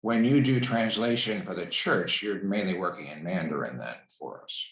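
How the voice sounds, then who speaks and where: A man's voice: sits low, expressive, moderate pace, fairly bright tone. A man hosting a church interview series over a video call, affirming his guest and repeating words of praise. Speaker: host of church discussion series. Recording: echoey room.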